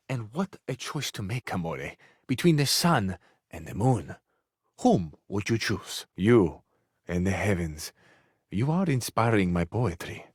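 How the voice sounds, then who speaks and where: A dry, close recording of a romantic Italian charmer from a video game. He speaks in an Italian accent at a middling male pitch. His manner is effusive and courtly, full of poetic longing and tender flirtation. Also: dry recording. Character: romantic, poetic Italian lover, flowery and yearning. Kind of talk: video game character acting, romantic dialogue.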